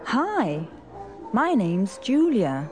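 Female actor as a flirty secretary, slow, slightly husky, animated pitch. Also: soft background music, full character voice. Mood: playful. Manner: slow, clear textbook delivery. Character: flirtatious secretary from Los Angeles. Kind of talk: scripted language course dialogue.

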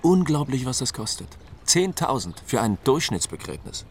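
A man's voice: mid-range voice, sing-song, normal speed, vocal fry, slight background noise. An actor playing a blunt, wry man recounting events in a dry, interview-like radio drama narration. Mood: dry, exasperated. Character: blunt, wry male friend recounting funeral arrangements.

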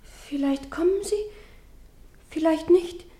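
A frightened young girl in a radio drama, with a high voice. She is timid and cowed, speaking in a hesitant, plaintive way. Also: takes it slowly, dark tone. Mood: hushed, timid. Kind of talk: German radio play acting.

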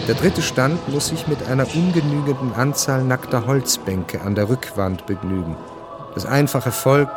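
A man narrating a scripted radio drama in a measured, deliberate way. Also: mid-range voice. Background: music.

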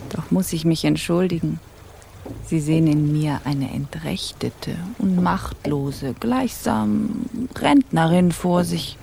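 A woman's voice: deep voice, slow pace, creaky, mellow, a little hiss or hum, heavily acted. A woman playing a deposed pirate captainess in a radio drama, formal, dignified and weary. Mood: formal, humble. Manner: formal, dignified, self-deprecating. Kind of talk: German radio drama acting.

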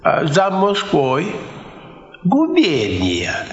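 An actor playing a meek, devout old wandering pilgrim in a radio drama. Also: male voice, fairly high pitch, wildly swooping pitch, very slow, full character voice. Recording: echoey hall, dull lo-fi radio play.

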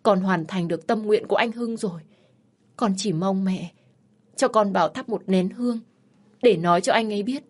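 A gentle young widow in an audio drama , voiced by a woman storyteller. She speaks earnestly and dutifully to her elders, pitched above the narration with a husky grain. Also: moderate pace, natural voice. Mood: meek, earnest. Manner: deferential, earnest.

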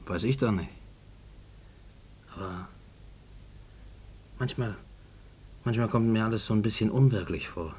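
An actor playing an uneasy, haunted man in a German radio play, speaking in a brooding, halting way. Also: lowish voice, darkish tone, slight background noise, natural voice. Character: haunted, uneasy husband and condemned man, John.

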